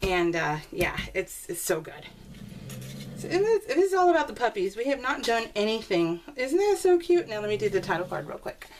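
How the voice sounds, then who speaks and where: A woman's voice: medium pitch, big pitch swings, moderate pace, darkish tone. A woman chatting informally and in a rambling way to her viewers as she hosts a casual crafting video. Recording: distant mic with faint background noise.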